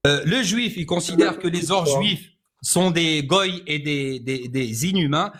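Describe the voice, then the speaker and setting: A man from France argues hard in a live debate, his voice sitting high. He is insistent, talking over objections and demanding to be heard out. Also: unhurried, a bit bright. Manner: insistent, keeps cutting in to finish his point. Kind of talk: heated street debate.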